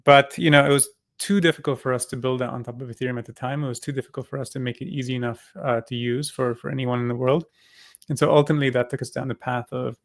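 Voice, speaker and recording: A man from Singapore, a company co-founder, talks through an interview answer in a halting way, full of ums and repeated words. His voice sits at an ordinary middle pitch. The recording is dry, with almost no room sound. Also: fairly bright tone, no room sound. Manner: halting, many fillers and restarts. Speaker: crypto company co-founder, guest.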